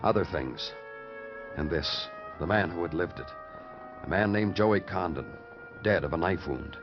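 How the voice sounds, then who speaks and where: An actor playing a hardened New York homicide detective, narrating in terse, poetic fragments in a 1950s American radio drama style. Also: male voice, low voice, a little slow. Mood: grim, weary. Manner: terse, clipped fragments, poetic and world-weary. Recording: muffled old radio recording with music.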